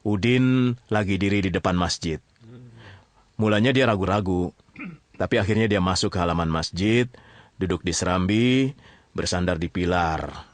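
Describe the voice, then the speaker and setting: A man's voice: low-pitched, normal speed, long pauses, dry recording, close to an everyday voice. A man playing an easygoing, teasing storyteller in a conversational drama, with a Jakarta accent. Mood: easygoing narrating. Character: easygoing teasing male storyteller among friends. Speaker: storyteller narrator.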